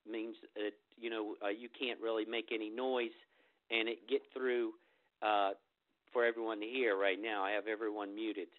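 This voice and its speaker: A man's voice: lowish voice, little pitch movement, normal speed. A man with a cold hosting a group phone call, talking loosely with many fillers and restarts before leading a meditation. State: getting over a cold. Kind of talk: informal spiritual talk before a meditation. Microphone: telephone. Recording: phone-line recording.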